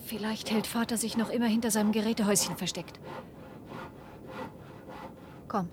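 A woman's voice: mid-range voice, steady tone, normal speed, background noise, natural voice. An actress playing an anxious, earnest adult daughter pleading for her father in a science-fiction radio play. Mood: hushed, wistful. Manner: pleading, earnest, often hushed.

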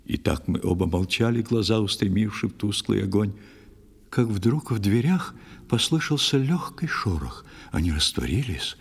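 An actor playing a sorrowful, pious old fisherman who tells his tale in expressive verse in an audio play. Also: fairly low pitch. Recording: long studio reverb over music.

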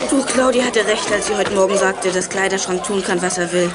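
A woman playing a brisk, practical youngster from a detective gang in a children's radio play. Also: mid-range voice, over a noisy crowd, heavy background noise, close to an everyday voice.

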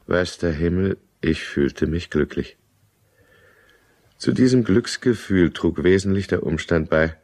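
A male actor playing a desperate, drunken middle-aged man, maudlin and menacing, in a German radio drama. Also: rumbling low. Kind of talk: German radio drama acting with first-person narration.

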